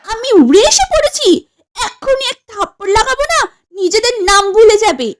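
A woman's voice: very high-pitched, big pitch swings, fairly quick, background noise. A woman voicing a snooty, hot-tempered lady in a comedy cartoon, scolding haughtily and throwing in English words. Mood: angry, scolding. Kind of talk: exaggerated comedy cartoon acting.

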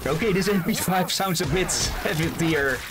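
A man's voice: sits high, normal speed, background noise. A man hosting a game stream, chatting casually and laughing easily. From Argentina. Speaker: streamer host. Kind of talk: casual gameplay chat. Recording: game music behind.

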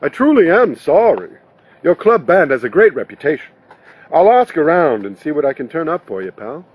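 A man reads the part of a friendly showman from an audiobook novel. He is breezy and wisecracking, with a husky, slightly high male voice. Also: very animated, normal speed, dull audio. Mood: sympathetic. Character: affable, wisecracking male banjo entertainer, eager to help.

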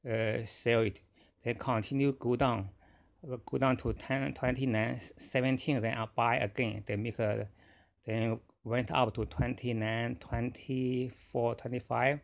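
A middle-aged man whose first language is Chinese, talking casually and haltingly about his trading, with many fillers and restarts. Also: mid-range voice, level tone, gravelly. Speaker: forex trader. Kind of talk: casual screen-recorded trading talk.

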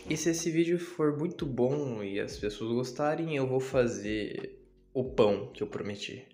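A man's voice: medium pitch, expressive, a little slow. Playful young man filming his house tour. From Brazil. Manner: playful, self-mocking, drops to mutters then shouts. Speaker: YouTuber giving a house tour. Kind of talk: casual vlog, joking to the viewers. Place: home kitchen and bedroom, indoors. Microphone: handheld phone camera. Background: lo-fi music playing.

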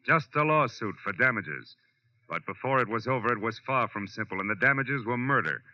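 An American actor playing a world-weary, tough private detective in a 1940s radio noir, clipped, colloquial and wry. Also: male voice, mid-range voice, expressive, fairly quick, sharp tone. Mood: ominous, dramatic. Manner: hard-boiled, clipped, colloquial. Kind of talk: 1940s radio acting, first-person noir narration. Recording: dull old radio recording, music behind.

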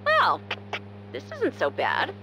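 Brash, animated young tomboy. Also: female voice, very high-pitched, takes it slowly, noisy background, full character voice. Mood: smug, musing. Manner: brassy, blunt, talking to herself or scolding. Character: brash, hot-tempered, vain young tomboy woman. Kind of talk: video game voice acting.

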